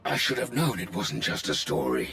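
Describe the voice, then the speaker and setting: An actor playing a cold, vengeful Sith warlord in a video game, speaking deliberate, ominous threats. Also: male voice, deep voice, sing-song, bright, forceful tone, faint music behind, full character voice. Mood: vengeful resolve. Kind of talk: dramatic video game villain acting.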